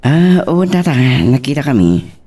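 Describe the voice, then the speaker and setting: A man's voice: mid-range voice, big pitch swings, unhurried, mellow, distant mic. An actor playing a humble, anxious young man in love in an Ilocano romance drama. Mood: downcast. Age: young adult. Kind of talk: Ilocano radio-style romance drama acting.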